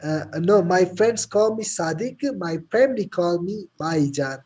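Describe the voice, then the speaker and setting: Pakistani-accented man, mellow warm tone, hesitant with lots of uh, video call audio. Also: sits high, expressive, a little slow, breathy edge, highs cut off. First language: Pashto. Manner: hesitant, many fillers. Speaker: chat participant. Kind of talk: casual video call chat.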